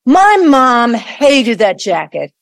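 A woman's voice: a little high, very animated, takes it slowly, vocal fry, no room sound, studio quality, exaggerated voice. A woman in an audio play acting a defensive, indignant grown daughter with a challenging, exasperated delivery. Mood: reluctantly curious.

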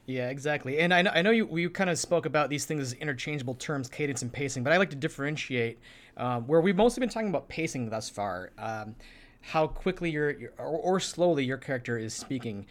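A man's voice: on the high side, expressive, brisk. A man explaining things in a casual discussion as a podcast co-host.

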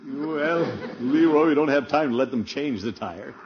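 An actor playing a blustery, fretful, portly middle-aged uncle who sputters and exclaims, in 1950s radio comedy style. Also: male voice, mid-range voice, animated, normal speed, close to an everyday voice. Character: flustered, blustery, portly small-town uncle, anxious. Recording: muffled, noisy old radio recording.

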